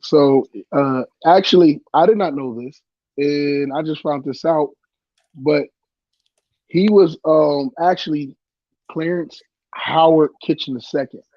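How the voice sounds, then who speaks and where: A man co-hosting a laid-back podcast, his voice congested from a cold. He talks in a playful, teasing way, a little higher than most men. The sound is dull and lo-fi, with a dry, close feel. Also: slow, dry recording. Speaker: podcast co-host. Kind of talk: casual chat.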